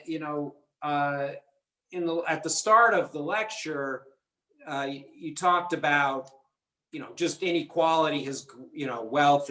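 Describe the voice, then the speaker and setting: A man's voice: mid-range voice, sharp tone, roomy sound, poor audio. A man asking a long, rambling question after a lecture, hesitant and full of restarts, over a video call. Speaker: audience member asking a question.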